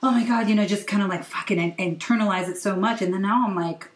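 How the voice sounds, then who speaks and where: Relaxed woman host in roomy sound. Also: mid-range voice, quick pace. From Hawaii and the US West Coast. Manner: relaxed, candid, laughs often. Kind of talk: casual conversation.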